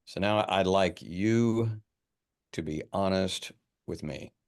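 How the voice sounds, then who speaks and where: Man acting investigator, deep pitch, gravelly fry, matter-of-fact, fussy, halting, film script read. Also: a little mellow. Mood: probing. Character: blunt, fussy, suspicious male investigator.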